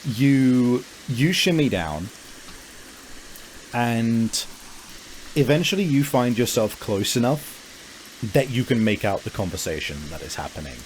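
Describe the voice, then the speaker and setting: A man improvising scene descriptions as a game master, halting as he searches for words. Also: mid-range voice, very animated, a little hiss or hum, low-quality recording. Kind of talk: improvised tabletop game narration.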